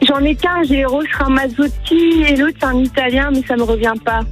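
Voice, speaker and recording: High-voiced, good-humored woman caller. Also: fairly even intonation, fairly quick, faint music behind. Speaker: radio caller.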